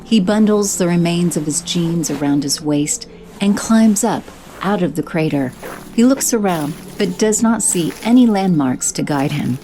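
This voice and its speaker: A woman giving steady scripted narration for an audio drama. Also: on the low side, a little slow, slight background noise, rough recording. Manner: steady, descriptive.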